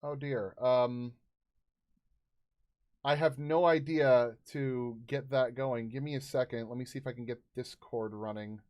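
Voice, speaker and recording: A man's voice: mid-range voice, steady tone, a little slow, darkish tone. A man in his thirties chatting casually as a livestream host, hesitant and apologetic in manner. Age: 30s.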